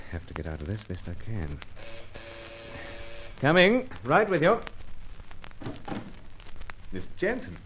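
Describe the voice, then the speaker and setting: A man's voice: lowish voice, huge pitch swings, takes it slowly, pauses often, fairly bright tone, heavily acted. A man playing a cool, understated secret agent with clipped speech, in an old radio serial style. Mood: flustered. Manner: clipped, understated, drops words. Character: cool, clipped British male secret agent, narrating his case. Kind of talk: old-time radio detective serial acting. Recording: noisy vintage radio recording.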